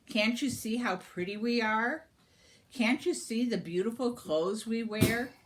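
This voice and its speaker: A woman's voice: a little high, unhurried, background noise, pitched above the performer's own voice, natural voice. A woman voicing two vain, haughty older sisters in a storybook, boastful and insistent, easily flustered. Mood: boastful, demanding. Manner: insistent, bragging, flustered when questioned.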